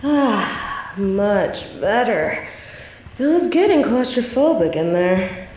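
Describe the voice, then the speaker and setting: A woman's voice: medium pitch, sing-song, slow pace, darkish tone, reverberant, a little hiss or hum, rough recording. A woman playing a smug, bored sorceress turned journalist in an audio drama, with a sardonic, condescending way of talking. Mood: relieved. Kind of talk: audio roleplay drama acting.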